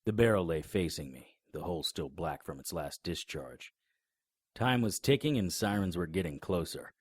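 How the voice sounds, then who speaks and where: A man with a very low, husky voice narrates a first-person noir horror tale. He tells even gory events in a grim, matter-of-fact way. The recording is close and dry, with no room sound. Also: normal speed, dry recording. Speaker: narrator and lead character.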